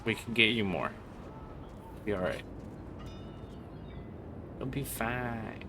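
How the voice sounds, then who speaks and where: A man doing casual livestream commentary over a game, rambling along in a deadpan, joking way. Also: on the low side, takes it slowly, background noise. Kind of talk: casual gameplay stream commentary.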